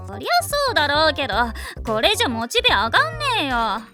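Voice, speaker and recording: A woman voicing a brash, cocky tomboyish teenage girl with rough, slangy, boastful speech in an anime. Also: high voice, huge pitch swings, fast, bright, forceful tone, faint music behind, exaggerated voice. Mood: bored, grumbling. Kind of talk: anime voice acting.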